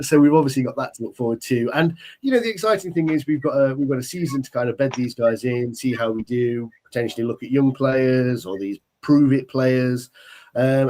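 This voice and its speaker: A man's voice: medium pitch, a little mellow. Congested British man hosting a podcast. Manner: rambling, many ums and restarts. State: congested with a summer cold. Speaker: podcast host. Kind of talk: casual podcast chat.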